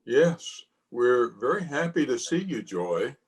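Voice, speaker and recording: A man's voice: mid-range voice, sing-song, takes it slowly. A man playing a courteous, kindly middle-aged father in an old-time radio drama. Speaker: actor in an amateur radio play. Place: at home, recorded over video call.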